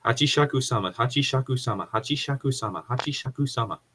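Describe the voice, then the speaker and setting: A man's voice: mid-range voice, fast talker, fairly bright tone, slight background noise. A man casually chatting and reading horror stories aloud as a podcast co-host, easily sidetracked and swearing freely.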